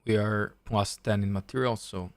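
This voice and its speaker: Man, Serbian accent, low voice, dry close sound, chess stream commentary, step-by-step explaining. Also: fairly even intonation, moderate pace, no room sound. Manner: thinks aloud, explains step by step.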